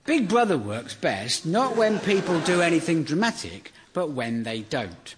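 A man delivering a deadpan, sardonic comedy monologue that builds into exasperated rants. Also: a little high, a little slow, a crowd in the background.

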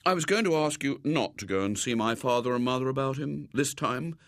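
A man's voice: mid-range voice, a little fast, fairly bright tone, no room sound, clean studio sound, pitched above the performer's own voice, natural voice. A man voicing a cheeky storybook schoolboy who puts on a virtuous, mournful, hesitant innocence. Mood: earnest pleading.

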